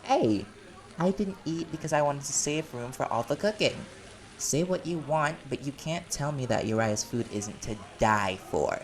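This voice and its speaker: A man's voice: a little high, lively intonation, normal speed, lightly acted. An actor playing a playful, caring man in a chatty, teasing audio roleplay conversation. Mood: warm, playful. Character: silly, playful, caring man at a community party.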